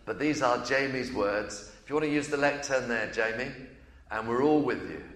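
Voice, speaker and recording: A man's voice: medium pitch, normal speed, fairly bright tone. A man preaching at a baptism service as pastor, encouraging the congregation. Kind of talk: sermon at a baptism. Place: church. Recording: echoey church room.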